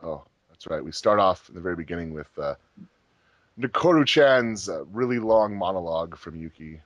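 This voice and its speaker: Low-voiced man hosting a group commentary. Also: lively intonation, moderate pace, no echo, lo-fi sound. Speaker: host of a fan dub commentary track.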